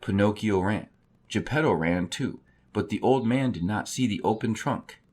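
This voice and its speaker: A man's voice: lowish voice, normal speed, darkish tone. A man narrating a children's story as a storybook reader.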